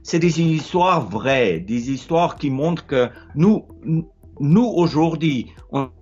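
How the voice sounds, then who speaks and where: Middle-aged man, Afrikaans accent, unhurried and slightly raised pitch, hesitant non-native phrasing; lo-fi phone recording. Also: animated, a little mellow, slightly rough, soft background music. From South Africa. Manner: hesitant, repeats words, searching for words. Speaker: explorer sharing advice. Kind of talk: casual advice monologue. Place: wood-ceilinged room indoors. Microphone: phone held close, low angle.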